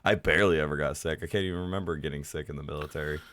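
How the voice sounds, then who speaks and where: A man with a low, deep voice talks through a stuffy, sniffly nose. He chats in a laid-back way, full of casual swearing. The sound is dry and close to the mic, with no room echo. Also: fairly even intonation, racing, a bit bright. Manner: laid-back, profane, laughs often. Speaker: podcast co-host. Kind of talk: casual chat.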